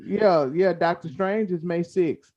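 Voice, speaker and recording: A man's voice: high-pitched, measured pace, muffled recording. A man co-hosting a talk show with casual, playful banter, repeating phrases for emphasis.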